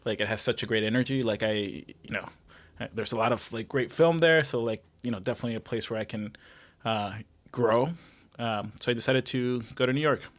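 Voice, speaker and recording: A man from Mexico, a guest telling his life story in a relaxed, rambling way with many fillers. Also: medium pitch, fairly quick. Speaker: guest, restaurant general manager. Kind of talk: casual conversational interview.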